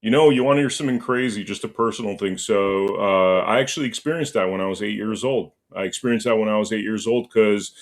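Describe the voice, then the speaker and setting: A man's voice: fairly low pitch, quick pace, few pauses. Casual man from Los Angeles. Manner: casual, says "man" and "like" often. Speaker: podcast host. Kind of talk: casual interview chat.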